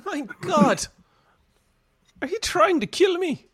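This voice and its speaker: A man playing an excitable, alarmed German mutant superhero in a live radio play. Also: very high-pitched, slow, exaggerated voice.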